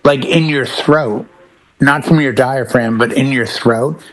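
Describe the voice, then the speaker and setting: A man's voice: mid-range voice, a little slow, poor audio. A man chatting casually as a talk show panelist, explaining his points emphatically and with anecdotes. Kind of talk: casual chat about rock bands.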